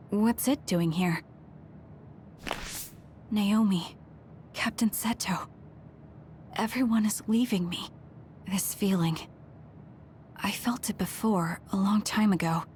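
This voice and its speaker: An actress playing a withdrawn, lonely young soldier heroine in a video game, subdued and halting. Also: female voice, medium pitch, a little mellow, slight background noise, natural voice. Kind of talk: video game cutscene voice acting.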